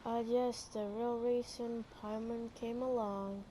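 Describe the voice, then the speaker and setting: A woman giving casual, low-key commentary while playing a game. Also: level tone, slow. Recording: loud background noise, clicking.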